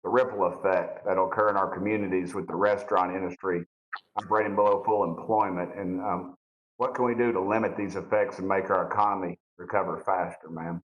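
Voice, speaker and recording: A congressman with a lowish voice and a Tennessee drawl questions witnesses at a hearing. He is folksy and plain-spoken but courteous. His voice comes with noticeable room echo. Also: fairly even intonation, fairly quick, highs cut off. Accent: Southern US, Tennessee. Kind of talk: informal questioning at a formal hearing. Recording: roomy, echoing hearing audio.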